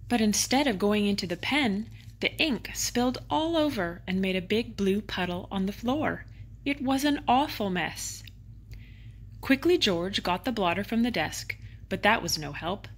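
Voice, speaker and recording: Female storybook reader, mid-range pitch, expressive bedtime narration for children, dramatic emphasis on key words.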